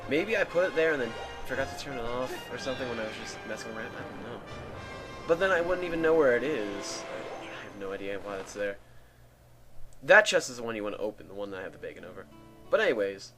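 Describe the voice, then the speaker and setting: A man with a dry, raspy throat rambling casually as a let's play host. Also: medium pitch, very animated, fast, bright, forceful tone, faint music behind. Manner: rambling, chatty. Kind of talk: casual gameplay commentary. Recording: home mic with static.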